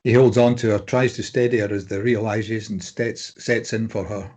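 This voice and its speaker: A man's voice: on the low side, flat delivery, normal speed, a little mellow. A man reading stage directions as narrator, in scripted dramatic present-tense narration.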